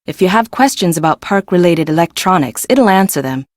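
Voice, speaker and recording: Game role: blunt, quirky female chief engineer played by a woman, low register, brisk offhand delivery, close dry recording. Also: animated, no room sound, close to an everyday voice. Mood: offhand, helpful. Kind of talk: video game voice acting.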